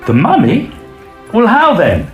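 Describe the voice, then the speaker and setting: An actor playing a brisk, practical young Oxford student in a period audio drama, using gentlemanly old-fashioned slang. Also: male voice, high voice, huge pitch swings, echoey room, soft background music, heavily acted. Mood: brisk concern.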